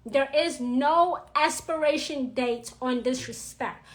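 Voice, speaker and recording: Female witchcraft teacher, New York accent, raspy edge, blunt, repetitive delivery, high voice, unhurried. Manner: blunt, emphatic, repeats her points. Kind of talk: blunt livestream talk.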